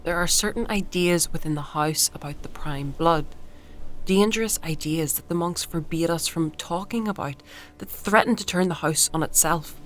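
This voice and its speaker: An actress playing a devout, guarded woman in a fantasy audio drama, speaking in a measured, earnest way. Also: on the low side, natural voice. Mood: solemn, guarded. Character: devout, guarded woman loyal to a secret order.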